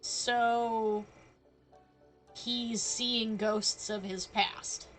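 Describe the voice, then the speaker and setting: A woman gives casual live commentary on a video game. Her voice sits fairly high, and she talks back to the characters in a playful, sardonic way. Game music plays softly behind her. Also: steady tone, extremely slow. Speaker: game streamer.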